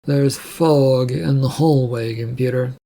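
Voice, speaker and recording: Man playing a weary, husky first mate. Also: medium pitch, steady tone, slow pace, warm tone. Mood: wary. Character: weary, stubborn male second-in-command of a ship.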